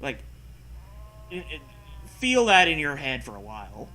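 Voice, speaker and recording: A man's voice: on the high side, very animated, unhurried, sharp tone, low-quality recording. A man reading a story aloud and breaking in with sarcastic, sweary casual commentary. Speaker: host reading and mocking fan fiction.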